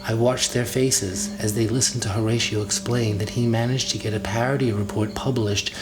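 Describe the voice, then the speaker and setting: A man's voice: fairly low pitch, fairly even intonation, normal speed, rough recording. A man narrating a literary audiobook in the first person, with dramatic delivery. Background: music.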